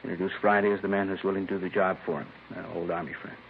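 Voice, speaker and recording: Male police captain, flat and authoritative, quick delivery, vintage radio crime drama. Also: low-pitched. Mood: businesslike. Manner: matter-of-fact, authoritative. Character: steady, businesslike male police captain giving orders. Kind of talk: 1950s radio drama acting. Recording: narrow, noisy old 1950s radio recording.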